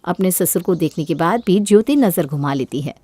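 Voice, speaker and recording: A woman's voice: fairly low pitch, animated, brisk, warm tone, slightly rough, no room sound. A woman narrating a scripted moral story as a storyteller.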